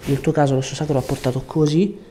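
Mellow-voiced man guiding a patient. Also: a little high, steady tone, fast. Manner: reassuring, short gentle instructions. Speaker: chiropractor. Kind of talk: calm clinical talk to patient. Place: indoor chiropractic office. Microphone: lapel mic. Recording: faint background room noise.

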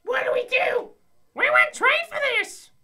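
A very high, squawking bird creature from a comedy radio play. The voice is shrill and bright, swooping all over as it chirps out a frantic, excitable sales pitch. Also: female voice, a little slow, full character voice. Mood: distraught. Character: excitable squawking bird person, car promoter. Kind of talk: comedic audio drama acting.

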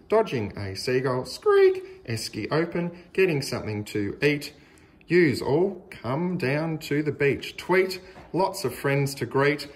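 Expressive Australian man reading to children. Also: medium pitch. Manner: deliberate, sing-song, exaggerated emphasis on key words. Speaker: storytelling teacher reading to young children. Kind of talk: expressive picture-book read-aloud for children.

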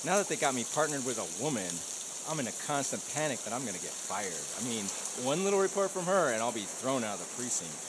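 A man's voice: medium pitch, very animated, fast, background noise, close to an everyday voice. A man playing a dim, tactless police officer who complains cluelessly in a comedic audio drama. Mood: whiny, flustered. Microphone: studio condenser mic.

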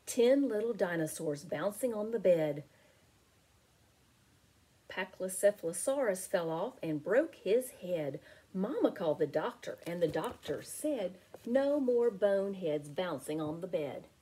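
Slow, expressive woman reading a picture book. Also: fairly low pitch, husky. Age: middle-aged. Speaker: teacher reading aloud to children. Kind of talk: picture book read-aloud. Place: small home room with window blinds.

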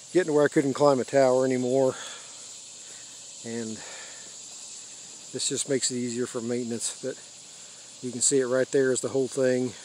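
An older American man giving a casual, unscripted show-and-tell in halting short phrases, recorded outdoors on a handheld device. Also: medium pitch, darkish tone, slight background noise, low-quality recording. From United States. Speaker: radio hobbyist giving a tour. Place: open rural backyard, outdoors. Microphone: handheld phone or camera mic.

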